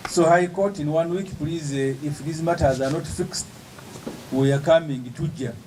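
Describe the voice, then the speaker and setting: A man, an opposition politician giving an emphatic, measured press statement with pauses between phrases. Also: fairly high pitch, background noise.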